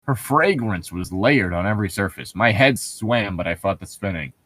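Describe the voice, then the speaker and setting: Male podcast co-host, husky and animated, for loose banter and amateur novel reading. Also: fairly low pitch, normal speed, dry recording. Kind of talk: casual chat and amateur book reading.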